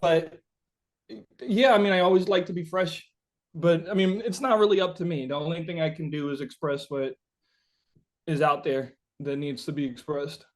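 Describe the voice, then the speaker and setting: A man being interviewed as a musician, answering casually and haltingly, thinking aloud and often saying "like". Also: on the high side, steady tone.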